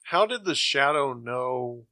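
Casting: conversational male podcast co-host, medium pitch, crisp bright tone. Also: lively intonation, slow pace, dry recording. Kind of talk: casual chat.

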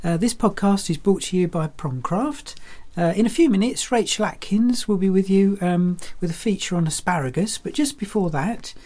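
A woman's voice: medium pitch, lively intonation, moderate pace, few pauses, mellow. A woman hosting a podcast, chatting casually about a product. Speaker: podcast host.

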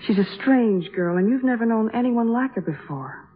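A woman's voice: on the high side, lively intonation, normal speed, slightly rough. An actress playing a plain-spoken, protective American woman, wry and earnest, in 1950s radio drama style. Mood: worried, urgent. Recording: tinny, narrow old radio broadcast.

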